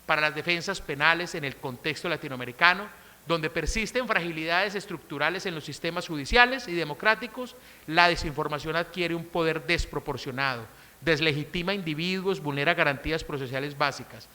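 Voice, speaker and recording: A middle-aged woman from Colombia with a Colombian accent, giving a measured, emphatic formal lecture on criminal law at a conference. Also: sits low, bright, forceful tone. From Colombia, coffee region. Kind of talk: formal academic lecture, partly read from a text. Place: large conference hall. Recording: reverberant conference hall.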